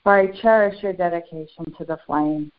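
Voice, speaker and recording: A woman leading a guided spiritual meditation in solemn, formal, deliberate phrases with long pauses. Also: on the low side. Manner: solemn, formal, ceremonial wording. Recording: muffled, phone-like narrow band.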